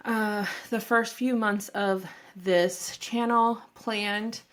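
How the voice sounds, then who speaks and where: A shy, self-deprecating woman in middle age, speaking at a slow, unhurried pace while a head cold leaves her a little stuffy. She wanders through her thoughts with plenty of fillers and asides, recorded on her own microphone in a home office. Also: medium pitch, a bit bright. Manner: shy and nervous. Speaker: vlogger introducing her channel. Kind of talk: casual talk to camera.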